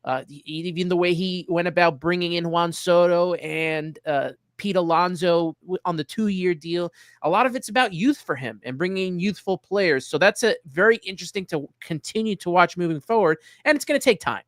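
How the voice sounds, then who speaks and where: A man with a somewhat high voice, recorded close and dry. He hosts sports talk in a conversational, analytical style. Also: moderate pace, a bit bright, no echo. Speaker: sports show host.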